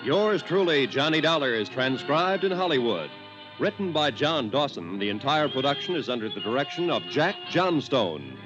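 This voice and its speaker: A man's voice: medium pitch, sing-song, moderate pace. An American man announcing a 1950s radio show in a formal, polished style. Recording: muffled vintage radio recording over music.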